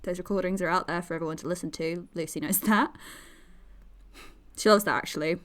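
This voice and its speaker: A woman's voice: lowish voice, brisk, a bit bright, close to an everyday voice. An actress playing a supportive, chatty young woman in a naturalistic audio drama, speaking informally and reassuringly. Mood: casual, sympathetic. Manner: informal, reassuring, hesitant phrasing.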